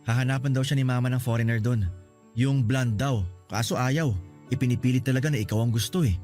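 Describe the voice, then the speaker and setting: A man's voice: mid-range voice, steady tone, a little fast, darkish tone, soft background music, natural voice. A man with a Filipino accent playing a caring, teasing older brother in a radio drama. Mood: concerned, gentle.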